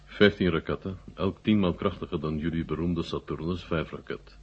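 Very deep, commanding Soviet marshal. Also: male voice, breathy edge. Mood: proud, commanding. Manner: authoritative, measured, formal briefing. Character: commanding Soviet marshal, mature man, proud and businesslike. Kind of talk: 1970 Dutch radio drama acting. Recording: dull vintage 1970 radio recording.

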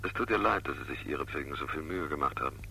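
A very sharp, creaky, low-pitched go-between. He is raspy, formal and insistent, menacingly polite in the style of a sixties German radio thriller. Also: expressive, fast, slight background noise, heavily acted, rough recording. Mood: coolly polite. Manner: formal, clipped, evasive. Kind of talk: 1960s German radio crime drama acting.

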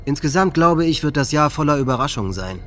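An actor playing an earnest teenage high-school boy reading a formal, measured statement in a radio play. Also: lively intonation, a bit bright, soft background music. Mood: earnest, hopeful.

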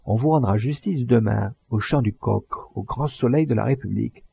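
A man voicing a thin young orator who harangues a crowd in a booming, declamatory voice. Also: normal speed, gravelly, husky, dry recording. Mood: rousing. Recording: dull dry recording.

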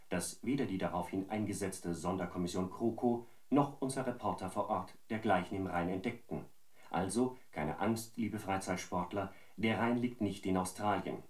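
A man's voice: low-pitched, moderate pace, roomy sound, lightly acted. A man playing a wry radio news reporter, reading a scripted report in a polished, tongue-in-cheek way.

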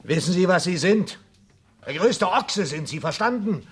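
An actor playing an exasperated, sarcastic police inspector with a blustering, scolding manner in a German radio crime drama. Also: male voice, high voice, lively intonation, a little slow, a bit bright. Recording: noisy 1970s radio drama recording.

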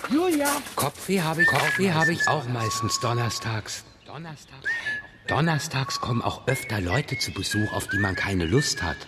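A man's voice: mid-range voice, very animated, moderate pace, heavy background noise, close to an everyday voice. A man playing a playful father in a radio play, spinning absurd stories in a whimsical, improvising manner.

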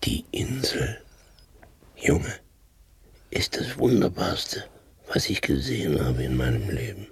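Deep husky old farmhand dad, poor but dreamy, radio play. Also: male voice, big pitch swings, slow pace, long pauses, in a whisper, exaggerated voice. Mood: wistful enthusiasm. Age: older. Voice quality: husky, gravelly. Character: aging poor Swedish farmhand, loving dreamy father. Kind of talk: radio drama acting.

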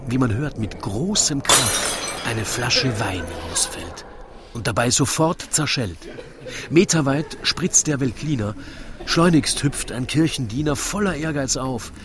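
A man narrating a scripted rhyming story in a theatrical, deliberate storytelling manner. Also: medium pitch, noisy background.

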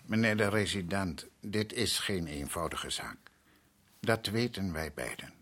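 An actor playing a courteous, dignified, evasive island religious leader in a radio drama, speaking formally and with measured politeness. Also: male voice, low-pitched, a bit bright. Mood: courteous, guarded. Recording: noisy old radio play recording with clicks.

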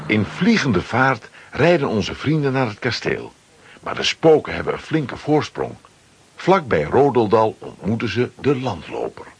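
A man's voice: on the low side, big pitch swings, unhurried, a little hiss or hum. A man reading scripted narration for a children's radio play.

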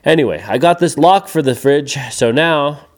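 A man voicing a big, hearty giant in a children's story, chatty and emphatic, friendly but quick-tempered. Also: fairly high pitch, voice pushed up, lightly acted. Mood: grumbling, jovial.

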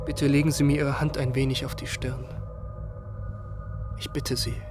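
A man's voice: mid-range voice, little pitch movement, moderate pace, lightly acted. An actor playing an agitated, anxious young man who pleads and accuses, in emotional German radio drama style. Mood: weak pleading. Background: music.

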